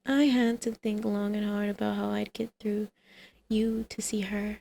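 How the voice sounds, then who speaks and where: A woman playing a teasing, flirtatious villainess in an intimate ASMR roleplay, with a creaky voice that often drops to a breathy whisper. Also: medium pitch, flat delivery, unhurried, mellow. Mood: tender.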